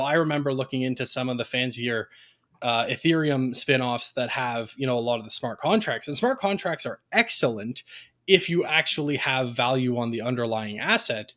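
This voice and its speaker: A man's voice: mid-range voice, a bit bright. A man giving conversational explanations as a guest on a talk show. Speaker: interview guest, technology commentator.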